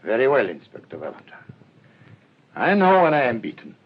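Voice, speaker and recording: Proud gentleman crook, blustery n dramatic, voice swings all over, muffled radio play. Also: mid-range voice, wildly swooping pitch, fairly quick. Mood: gracious in defeat. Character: proud, theatrical gentleman thief, menacing villain. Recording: dull lo-fi radio recording, faint noise.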